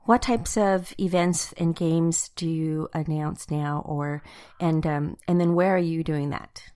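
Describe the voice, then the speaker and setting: Female podcast host, notably low register, casual conversational interviewing. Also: steady tone, slow pace, warm tone.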